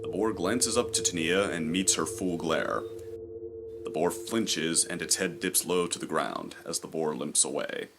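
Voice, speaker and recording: A man's voice: low-pitched, a little slow, background noise. A man reading scripted, dramatic third-person story narration for a fantasy audio drama.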